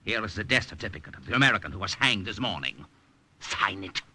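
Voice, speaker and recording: An actor playing a cold army captain in 1940s radio style, with a curt, sardonic, commanding manner. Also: male voice, on the low side, lively intonation, fast, bright, forceful tone, a little hiss or hum. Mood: cold menace. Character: cold, menacing German army captain interrogating a prisoner.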